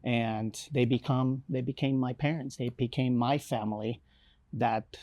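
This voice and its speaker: Romanian-accented man, halting testimony. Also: mid-range voice, steady tone, lo-fi sound. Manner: hesitant, many fillers and restarts. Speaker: missionary guest. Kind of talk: interview, personal testimony.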